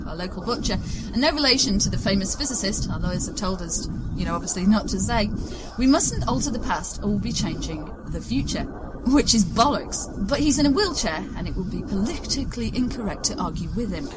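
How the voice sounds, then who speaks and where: A woman's voice: medium pitch, a little fast, noisy background, lightly acted. A woman playing a breezy, boastful, cowardly time-traveling adventurer in a comic audio drama. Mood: flippant. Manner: animated, flippant, exclamatory.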